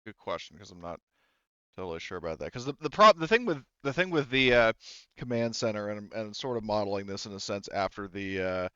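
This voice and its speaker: A man runs a tabletop game in a casual, improvised way. His voice is low and clean, and he explains things haltingly, circling back on himself. The sound is very dry and a little muffled. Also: lively intonation, bright, forceful tone, clear, resonant tone, no room sound. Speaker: game master.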